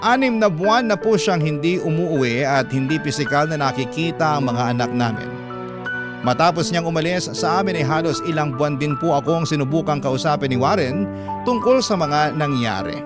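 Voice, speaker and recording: Male radio host for heartfelt letter readings: fairly high voice, measured sentimental narration, prominent music bed. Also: lively intonation. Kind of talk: dramatic scripted radio narration. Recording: loud background music.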